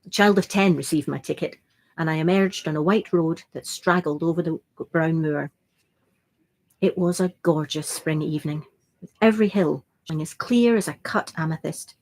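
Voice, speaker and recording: A woman's voice: low voice, moderate pace, darkish tone. A woman reading a classic adventure novel aloud as a first-person narration. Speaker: reader.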